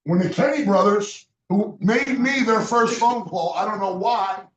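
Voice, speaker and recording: A man giving a loud, emphatic motivational sales speech to a roomful of guests, sometimes shouting to drive a point home. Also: sits high, a little slow, muffled recording. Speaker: business leader speaking at a recruiting event. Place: meeting room with an audience. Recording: echoey room, mic from far off.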